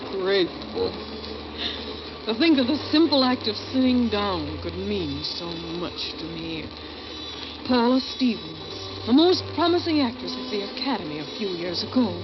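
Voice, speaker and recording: An actress playing a bitter, desperate failed actress secretly plotting murder, narrating in a brooding, confessional 1940s radio thriller style. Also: female voice, fairly high pitch, background noise. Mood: weary, bitter. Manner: brooding, dramatic, confessional delivery. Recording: muffled vintage radio recording with loud music.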